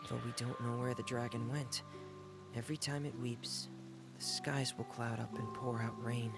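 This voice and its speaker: Man voicing a shy young man over loud music. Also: medium pitch, level tone, normal speed, gravelly, close to an everyday voice. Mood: wistful. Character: shy, introverted young man, soft and apologetic. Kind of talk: video game dialogue.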